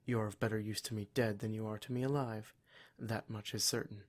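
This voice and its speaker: A man's voice: lowish voice, steady tone, brisk, lightly acted. A man playing a cruel, smug demon in a scripted ASMR roleplay, speaking in a formal, mock-polite and menacing way. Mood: smug, condescending. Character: cruel, smug male demon, taunting captor of adventurers.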